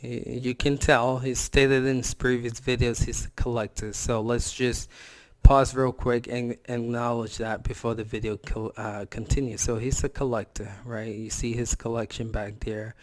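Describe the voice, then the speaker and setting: A man hosting a gaming reaction video, hoarse from a cold, talking in a blunt, slangy, casual way. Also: mid-range voice, little pitch movement, normal speed, dry recording. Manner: blunt, slangy, scolding the other creator. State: hoarse from a cold and sore throat. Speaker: reaction channel host.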